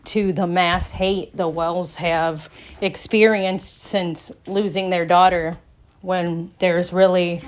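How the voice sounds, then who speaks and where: A woman giving casual reaction commentary in a skeptical, halting way. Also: medium pitch. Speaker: commentary channel creator.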